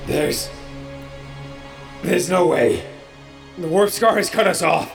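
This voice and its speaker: A man's voice: sits high, expressive, slow pace, vocal fry, soft background music, pitched above the performer's own voice, exaggerated voice. A man voicing a wounded, anxious male pirate who is held captive and stammers. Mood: nervous.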